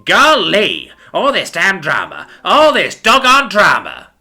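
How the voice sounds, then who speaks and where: A man's voice: sits high, huge pitch swings, slow pace, sharp tone, voice pushed up, exaggerated voice. A man voicing a callous, corrupt pig policeman in a story, with crude, folksy, scolding speech. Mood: fed up. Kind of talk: dramatic storybook character voice.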